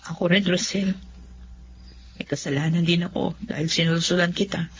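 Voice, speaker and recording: A contrite Filipino in-law talks earnestly in a notably high voice. The radio drama recording is muffled, with faint noise. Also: male voice, steady tone, normal speed, fairly bright tone. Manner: apologetic, earnest.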